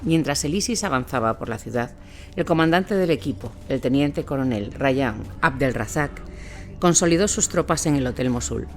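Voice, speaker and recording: A man reading scripted documentary narration as the narrator of a war account. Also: mid-range voice.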